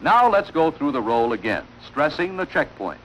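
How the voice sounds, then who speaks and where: A man delivering formal, measured instructional narration in the style of a wartime training film, on an old film soundtrack. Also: on the high side, big pitch swings, slight background noise, poor audio.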